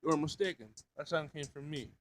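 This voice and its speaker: A man voicing a blunt, literal-minded robot in a schoolgirl's body, formal and deadpan, in a visual novel. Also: fairly high pitch, a little fast, a little hiss or hum, close to an everyday voice. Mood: matter-of-fact.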